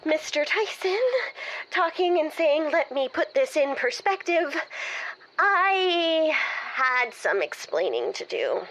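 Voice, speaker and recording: Female comedy caller played by an actress: high voice, gushing, sheepish, obsessive nerd, halting then melodramatic. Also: sing-song, a bit bright, full character voice, low-quality recording. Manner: hesitant fillers mixed with gushing, dramatic emphasis. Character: gushing, embarrassed lovestruck young woman with nerdy obsession. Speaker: caller seeking advice. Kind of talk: improvised comedy dialogue.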